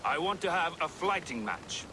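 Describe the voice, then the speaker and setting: An actor playing a brash, boastful, thin-skinned Norse brawler in a video game, taunting and blustering. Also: male voice, a little high, sing-song, normal speed, bright, forceful tone, a little hiss or hum, full character voice, poor audio. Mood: swaggering.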